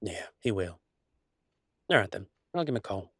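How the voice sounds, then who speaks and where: A man's voice: on the low side, sing-song, normal speed, husky, no room sound, exaggerated voice. An easygoing werewolf boyfriend, acted by a man in an intimate audio roleplay. He rambles in a folksy, affectionate, teasing way. The sound is close and dry. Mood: reflective, reassuring. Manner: folksy, rambling, playful drawl with colloquial turns. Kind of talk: intimate one-sided roleplay audio, conversational acting.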